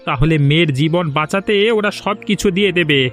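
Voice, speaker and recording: A man's voice: a little high, fast talker, a bit bright, natural voice. A man voicing a greedy, bossy, calculating village bandit in a cartoon. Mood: scheming. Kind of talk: cartoon voice acting. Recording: music behind the voice.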